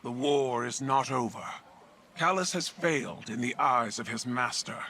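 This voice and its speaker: An actor playing a proud, grave and honorable alien warrior leader in a video game cutscene, speaking in formal, solemn oratory. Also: male voice, mid-range voice, a little slow, pushed and bright, a little hiss or hum. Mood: solemn, resolute.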